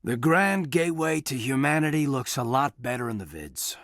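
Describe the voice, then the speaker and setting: An actor playing an earnest, guarded military officer in a video game, with a husky voice and a respectful, formal manner. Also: male voice, mid-range voice, expressive, moderate pace, a bit bright, no echo, studio quality. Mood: wistful, guarded. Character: earnest, guarded young soldier with biotic powers, a loyal officer.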